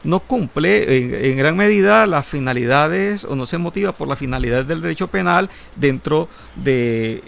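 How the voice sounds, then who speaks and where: A man's voice: on the high side, creaky, fairly bright tone. A man who is a criminal lawyer, explaining legal points in a panel discussion with frequent hesitations and legal jargon.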